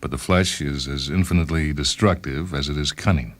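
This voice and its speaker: Very deep, deadpan disgruntled inventor, read by a man. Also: fairly quick, no room sound. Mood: rueful. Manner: deadpan, wry, fussily verbose. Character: disgruntled, self-justifying inventor, a married time traveller. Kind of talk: first-person dramatised short-story reading.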